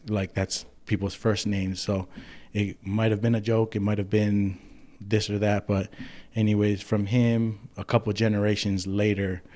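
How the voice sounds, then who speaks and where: Man, from Hawaii, podcast guest, rambling casual storyteller, low voice, flat monotone delivery. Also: unhurried. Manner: easygoing, rambling, with frequent um and so. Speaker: podcast guest, clothing company owner. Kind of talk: casual storytelling about family history.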